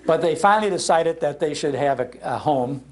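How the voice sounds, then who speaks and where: An older American man giving an informal, anecdotal public talk in an animated, conversational manner. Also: on the high side, a little fast. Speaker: speaker on old-time radio history, broadcaster. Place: public library talk before an audience.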